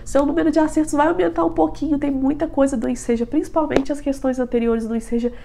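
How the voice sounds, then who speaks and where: A woman's voice: sits high, fast talker, gravelly, noisy background. A young woman in her twenties with a nasal, stuffy voice from a head cold, chatting casually to camera in a small bedroom, giving study advice with frequent filler tags. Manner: chatty, lots of filler tags, animated hand gestures. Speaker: vlogger.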